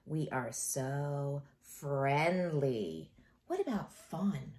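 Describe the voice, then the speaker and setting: A middle-aged woman reads a picture book to young children as their teacher. Her pitch swings in a lively way, and she takes her time. She is expressive and encouraging, often stopping to put a question to her listeners. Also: drawn-out words, a little hiss or hum. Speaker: elementary school teacher. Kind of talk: read-aloud of a children's picture book, talking to young pupils.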